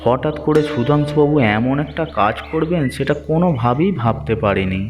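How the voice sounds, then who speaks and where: A man's voice: mid-range voice, animated, fairly quick, long reverb tail, dull audio, natural voice. A man voicing a poor, anxious village farmer in a moral-story cartoon, plaintive and earnest. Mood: worried, resigned.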